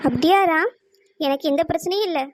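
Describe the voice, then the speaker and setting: A woman's voice: extremely high pitch, animated, fast talker, a little hiss or hum. A woman voicing a shy, anxious schoolgirl in love, hesitant and coy, in a cartoon romance. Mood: coy, nervous.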